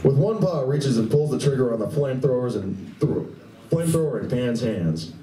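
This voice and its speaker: A man's voice: mid-range voice, animated, normal speed, warm tone, far from the mic. A man giving dramatic scripted narration for a live radio play. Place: live stage reading at a convention. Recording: echoey room, picked up from afar.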